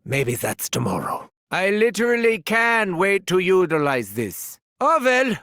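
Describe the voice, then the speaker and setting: A manic, sadistic mad doctor with a thick German accent for a video game. His voice sits high and swings wildly, with a gravelly, sharp edge. He savors each word slowly, gloating and bursting into shouted cackles, all captured dry and close in the studio. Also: sing-song, no room sound, exaggerated voice. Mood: sardonic. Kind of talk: video game character barks.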